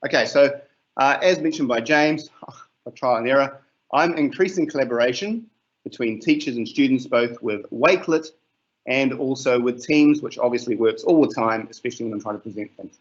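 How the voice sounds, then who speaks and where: A middle-aged New Zealand man presents a teaching webinar over a video call. He talks in a relaxed, unhurried way, full of fillers. The call audio is somewhat muffled. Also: medium pitch.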